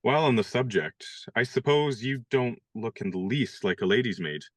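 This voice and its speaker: A man playing a wry young gentleman valet. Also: mid-range voice, expressive, moderate pace, dry recording, lightly acted. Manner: dry, good-humored and polite. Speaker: actor in radio play. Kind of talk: radio play acting.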